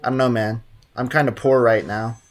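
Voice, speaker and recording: A man's voice: medium pitch, fairly even intonation, normal speed, faint music behind. Rambling, joking man streaming a game. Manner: rambling, joking, talks to chat. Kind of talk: casual gameplay commentary.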